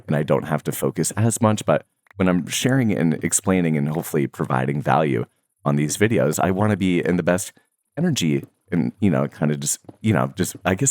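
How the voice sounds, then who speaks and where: A young man with a remarkably deep, low voice talks to his viewers about his daily habits. He rambles easily, drifting through fillers and small laughs as he goes. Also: no echo, clean studio sound. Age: young adult. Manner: relaxed, rambling, many fillers and soft laughs. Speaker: Minecraft content creator. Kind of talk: casual talk to viewers.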